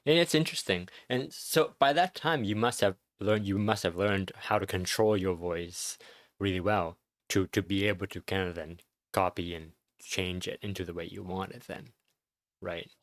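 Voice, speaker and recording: Brief: male podcast host, very low voice, dry close sound, searching questions. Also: no room sound. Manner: thoughtful, halting questions with restarts. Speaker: interviewer. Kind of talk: casual interview.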